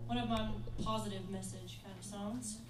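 A woman's voice: mid-range voice, steady tone, moderate pace, far from the mic. A young woman songwriter introducing her songs from a small pub stage, with upbeat, brief patter between songs. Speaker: singer-songwriter. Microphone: stage vocal mic, taped from the crowd. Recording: echoey room taped from afar. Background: acoustic guitar playing.

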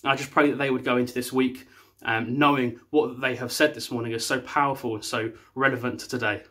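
A man's voice: mid-range voice, steady tone, fairly quick, fairly bright tone. Earnest young man praying at home. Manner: earnest, with fillers and restarts. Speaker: youth worker. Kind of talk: closing remarks and prayer. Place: small room at home.

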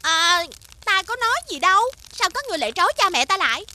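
Very high-pitched, bratty rich girl. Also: very animated, fast, full character voice. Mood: complaining. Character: spoiled, scheming rich man's daughter, young woman. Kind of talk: children's fairy-tale cartoon dubbing. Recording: loud music behind the voice.

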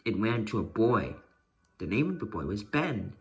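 A man's voice: fairly low pitch, big pitch swings, normal speed. A man reading a children's storybook aloud as narrator. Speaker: storybook reader.

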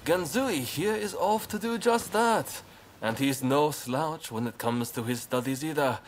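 An actor playing an affable, self-deprecating young samurai in a video game, genial and expressive. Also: male voice, fairly high pitch, unhurried, close to an everyday voice. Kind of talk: video game cutscene acting. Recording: music playing behind the voice.

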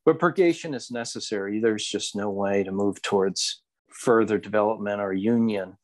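Lower-pitched man in reflective conversation. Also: normal speed. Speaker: podcast co-host. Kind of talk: reflective casual discussion of spirituality.

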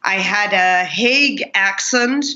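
A woman's voice: medium pitch, slow, bright, forceful tone, lo-fi sound. A middle-aged woman, a guest telling her life story in an earnest, reflective way. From Pakistan. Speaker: guest, kindness speaker. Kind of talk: conversational interview.

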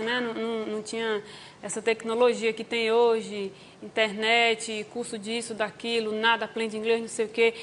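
A woman in her thirties with a Northeastern Brazilian accent, chatting casually as an interview guest. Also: on the high side. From Paraíba, Brazil. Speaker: guest singer. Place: TV studio stage before an audience. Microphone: lapel mic. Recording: clear background noise on stage.

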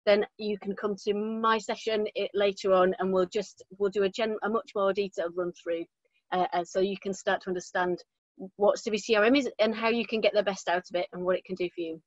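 A woman's voice: medium pitch, fairly even intonation, fairly quick, dry recording. A woman in her forties giving an informal introductory explanation as a speaker at an online event. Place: small indoor space with curtains. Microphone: computer mic on a video call. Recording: dull, lo-fi video call audio.

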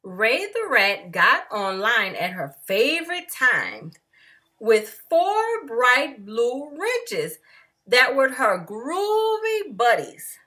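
A woman's voice: fairly high pitch, big pitch swings, drawn-out words, pushed and bright. A woman reading a story theatrically, with exaggerated mock drama and call-and-response questions. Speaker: storyteller, host of own channel. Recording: music playing behind the voice. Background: music.

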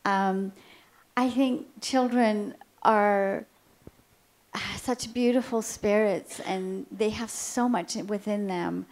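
An older woman panelist speaks gently and reflectively into a handheld microphone. Her voice sits at an ordinary middle pitch. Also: fairly even intonation, slow.

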